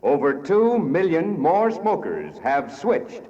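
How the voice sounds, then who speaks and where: High-voiced, animated American male radio announcer. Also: slow pace. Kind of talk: 1940s scripted radio announcing. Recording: dull 1940s radio recording.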